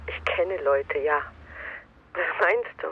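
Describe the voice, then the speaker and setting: Through a thin, tinny telephone line comes a guarded woman agent. Her delivery swings in pitch more than most, evasive and challenging, in radio drama dialogue. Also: lively intonation, slow, a little hiss or hum. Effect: telephone filter.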